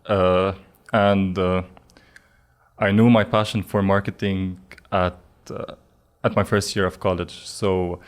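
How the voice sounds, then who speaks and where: A young man in his early twenties from Lebanon, speaking as a podcast guest. His voice sits very low and stays flat, and he talks earnestly, stopping often to restart a thought. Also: clean tone. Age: 20s. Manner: earnest, many fillers and restarts. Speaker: guest, digital marketing specialist. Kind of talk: casual podcast interview.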